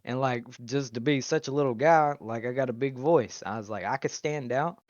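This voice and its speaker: A young man telling his story in a casual interview over a video call, loud and animated. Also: medium pitch, moderate pace, no room sound. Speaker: YouTuber, interviewee. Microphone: video call mic. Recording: muffled, dry video call audio.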